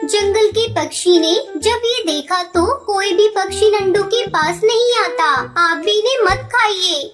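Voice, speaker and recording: Woman as a tiny, very high-pitched worried mother sparrow. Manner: pleading, worried. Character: small, anxious, clever mother sparrow. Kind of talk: Hindi children's cartoon story acting.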